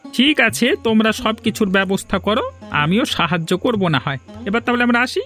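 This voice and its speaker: A man's voice: high-pitched, expressive, a little fast, sharp tone. A man voicing a self-important, touchy grown son who works in the city, in a cartoon fairy tale. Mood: cheerful, nostalgic. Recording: music under the voice.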